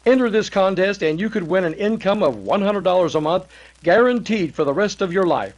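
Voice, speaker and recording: A man's voice: high voice, animated, a little fast, muffled recording. A man playing an earnest 1930s radio announcer, with a formal, salesmanlike scripted delivery. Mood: earnest, promotional.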